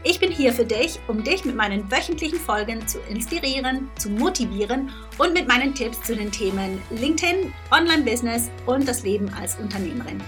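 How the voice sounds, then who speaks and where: A woman in her thirties hosting a podcast interview, lively and enthusiastic, often slipping in English phrases. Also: fairly high pitch, fairly quick, faint music behind. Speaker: podcast host.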